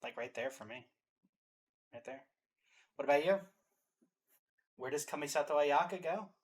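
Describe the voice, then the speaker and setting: A man's voice: a little high, normal speed, slightly rough. A man hosting a reaction show, chatting casually as he reacts and ranks. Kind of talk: casual reaction chat and ranking.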